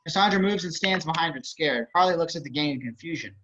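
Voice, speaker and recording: A man with a fairly high, bright voice reads out stage directions in scripted narration, casual and wry. The audio is slightly dull, like a video call. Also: animated, fast talker. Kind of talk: scripted narration at an online table read.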